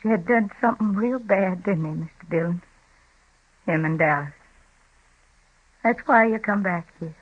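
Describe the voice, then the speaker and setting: An actress playing a weary older frontier mother on a vintage radio western, speaking softly in a plain rural dialect. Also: mid-range voice, normal speed, long pauses, slightly rough, no echo, close to an everyday voice. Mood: grieving. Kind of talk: 1950s radio western acting. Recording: tinny, narrow old radio broadcast.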